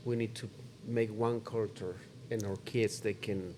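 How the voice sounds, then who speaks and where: A man's voice: on the low side, steady tone, unhurried, a little mellow, a little hiss or hum. A middle-aged man pastor, a guest in an interview, speaking in short, simple phrases and repeating words for emphasis. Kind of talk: casual conversational interview.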